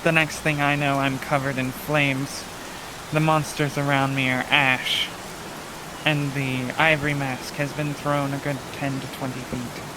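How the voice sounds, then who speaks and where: A man playing a grave, guarded occultist in an improvised fantasy roleplay, speaking in terse, halting phrases about a grim past. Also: medium pitch, little pitch movement, natural voice. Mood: grave, recounting. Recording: loud hiss, rain-like noise.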